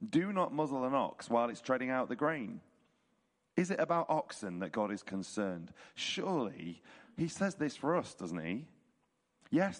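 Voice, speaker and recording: A man with a hoarse, croaky voice reads a scripture chapter aloud at a church service, in a measured, rhetorical way. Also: mid-range voice. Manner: casual intro, then measured rhetorical reading. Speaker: church leader reading scripture. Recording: reverberant hall.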